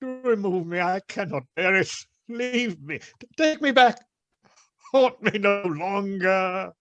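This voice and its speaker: Radio drama old miser, anguished and exclamatory, slow, wide swooping delivery, close dry sound. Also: male voice, high voice, expressive, a bit bright, no echo, highs cut off, full character voice. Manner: imploring, exclamatory. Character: old man Scrooge, anguished, pleading, awestruck. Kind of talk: radio play acting.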